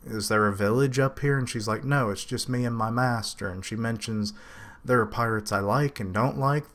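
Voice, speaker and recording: Casual male reviewer, low-pitched, rambling, self-correcting. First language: English. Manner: lifts his pitch to quote characters.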